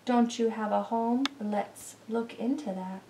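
A woman voicing a kindly, gentle old woman in a storybook, soothing and coaxing. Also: on the high side, steady tone, measured pace, a little hiss or hum. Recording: roomy, distant camera microphone.

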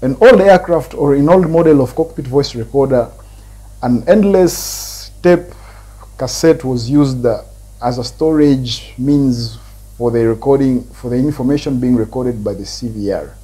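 A man in his thirties presenting a technical tutorial, explaining step by step in deliberate, short phrases with a husky voice. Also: mid-range voice, dark tone, low-quality recording. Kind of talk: explanatory lecture.

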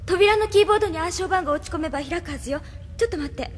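An actress playing an earnest, capable young resistance operative in an anime audio drama, speaking persuasively. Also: female voice, extremely high pitch, racing, long reverb tail, a little hiss or hum. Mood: assured.

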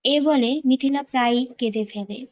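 A woman's voice: high voice, normal speed, darkish tone, lo-fi sound. A woman narrator reading a scripted romantic story.

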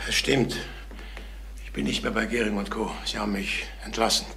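A middle-aged actor playing a troubled travelling salesman in a crime radio play, halting, repeating himself and on the defensive. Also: lowish voice, level tone, creaky, pushed and bright. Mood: bitter. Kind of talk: German crime radio drama acting. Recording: noisy vintage radio drama recording.

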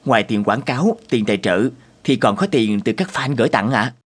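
A man voicing a polite, patient young man who explains things in an animated cartoon. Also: mid-range voice, a little fast, breathy edge.

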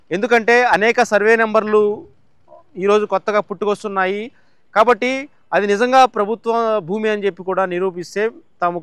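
A man's voice: high-pitched, fairly bright tone, clean tone, dry recording. A man reporting the news from a site, explaining step by step with fillers and restarts.

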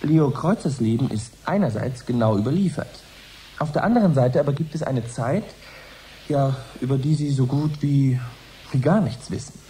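A man playing a courteous studio host in a radio play. His mid-pitched voice is soft-edged and formal as he probes his guests, with faint noise underneath. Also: moderate pace, lightly acted, poor audio. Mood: composed, inquiring. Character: composed male broadcast host interviewing scientists. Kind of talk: radio drama, studio interview.

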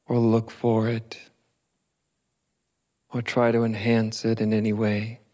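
A man leading a guided meditation as a teacher, speaking gently in short, spaced phrases with long pauses between them. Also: on the low side, monotone.

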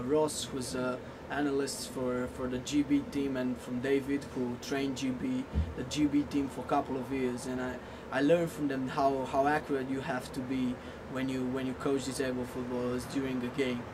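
A young man with a Romanian accent gives interview answers in an even tone. He feels his way through sentences, repeating words, with clear outdoor vehicle noise behind him. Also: moderate pace, hardly any pauses. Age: 20s. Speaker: assistant football coach being interviewed. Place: outdoors in front of bushes. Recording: noisy outdoor recording with vehicle rumble.

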